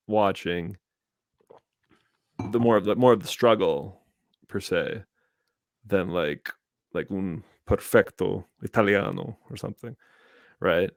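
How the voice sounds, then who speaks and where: A man in his thirties, a school teacher, chatting as a podcast co-host and telling stories at a relaxed, drawn-out pace. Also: on the low side, dry recording. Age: 30s. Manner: relaxed, drawn-out storytelling, switching into Spanish. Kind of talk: casual chat.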